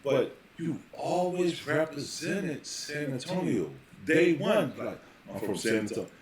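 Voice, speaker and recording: A man hosting a casual podcast interview, building long questions in halting, repeated phrases. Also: fairly high pitch, animated, crowd noise. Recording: very echoey room, faint background noise.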